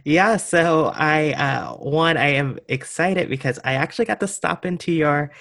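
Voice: medium pitch, unhurried, vocal fry, a bit bright. A podcast host opening the show from a script and then interviewing a guest with an upbeat, eager manner. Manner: upbeat, enthusiastic.

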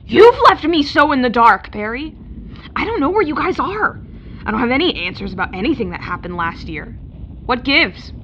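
A woman's voice: sits high, very animated, moderate pace, a bit bright. A woman playing a hot-tempered, hurt retail floor manager in a naturalistic audio drama, blunt and sarcastic. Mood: angry, hurt. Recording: muffled listening-device sound, faint noise.

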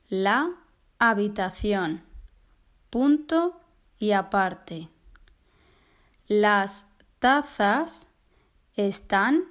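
A woman's voice: mid-range voice, a bit bright. A woman reading a spelling dictation one word at a time. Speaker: dictation reader.

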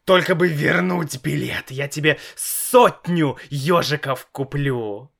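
A man voicing a nervous, hot-tempered father in a storybook reading, loud and exasperated. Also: a little high, slow, creaky, pitched above the performer's own voice, exaggerated voice. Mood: agitated.

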